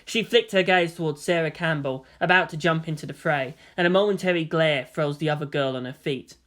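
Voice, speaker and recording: A narrator reads action fiction aloud with dramatic delivery. The voice sits at a medium pitch and has a bright, tense, forceful edge. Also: fairly quick. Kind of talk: dramatic scripted story narration.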